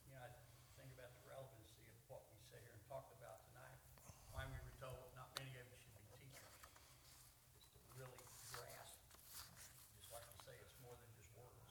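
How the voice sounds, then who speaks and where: A man's voice: medium pitch, little pitch movement, a little fast. Man chiming in at bible class, far from the mic, roomy echo, noisy room. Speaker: class participant. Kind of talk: church discussion. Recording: distant roomy mic with background noise.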